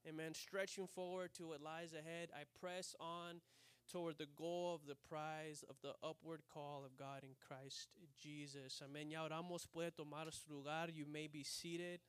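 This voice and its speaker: A man's voice: on the high side, clean tone, no room sound. A man preaching a sermon at a church service, translating himself between Spanish and English phrase by phrase and often saying amen. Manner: switches between Spanish and English, frequent amens.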